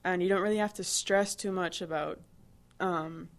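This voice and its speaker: A woman's voice: fairly low pitch, steady tone, normal speed. A woman in her twenties from Barbados, answering interview questions in a chatty way, with many fillers and restarts. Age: 20s. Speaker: interviewee, new employee.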